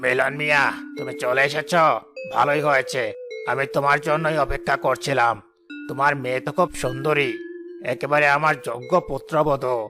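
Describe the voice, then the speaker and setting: A man's voice: medium pitch, little pitch movement, normal speed, natural voice. A man playing a domineering, calculating village headman and father in a cartoon. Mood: smug. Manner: commanding, calculating. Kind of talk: cartoon voice acting. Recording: loud background music.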